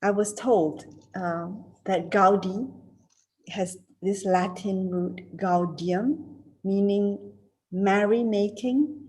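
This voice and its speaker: Woman in her fifties from Singapore, slow and halting, video call keynote with many fillers. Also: medium pitch, fairly even intonation, mellow, poor audio. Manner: hesitant, many fillers and restarts. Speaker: keynote speaker, alumna. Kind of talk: reunion speech and Q&A answers.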